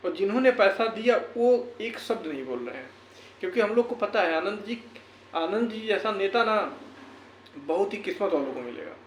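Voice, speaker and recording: A man's voice: high-pitched, lively intonation, moderate pace. A man speaking to viewers in an earnest, exhortative way, repeating phrases for emphasis in a motivational talk. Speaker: YouTuber and educator. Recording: echoey room, faint background noise.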